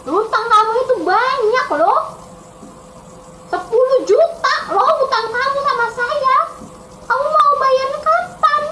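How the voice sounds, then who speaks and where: A woman's voice: very high voice, a little slow, sharp tone, echoey room, slight background noise, pitched above the performer's own voice, full character voice, poor audio. A woman storyteller acting out a rich king who speaks emphatically to his servant. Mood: stern, demanding. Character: rich, imposing but merciful king of a children's story.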